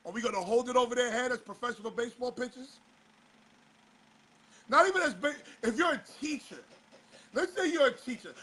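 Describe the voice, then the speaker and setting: Male host, very high bright voice, a bit hoarse, exasperated rhetorical talk-show riffing. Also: low-quality recording. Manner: fires rhetorical questions, exasperated. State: slightly hoarse. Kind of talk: casual talk-show commentary.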